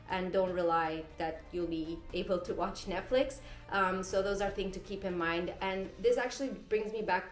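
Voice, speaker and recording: A woman from Syria giving casual travel tips to camera from in front of a green screen, chatty, with fillers and self-corrections. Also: fairly low pitch. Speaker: travel vlogger. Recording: roomy echo, faint music behind.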